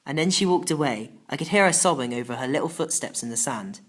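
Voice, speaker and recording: Female children's audiobook narrator, low register, very varied intonation, dramatic and emotive. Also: lively intonation, fast. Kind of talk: expressive first-person children's story narration.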